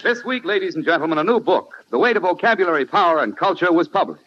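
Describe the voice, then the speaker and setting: A man's voice: high-pitched, animated, quick pace, a bit bright, faint music behind. An American man announcing a 1940s radio show in a formal, projected style. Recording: muffled old 1940s radio broadcast.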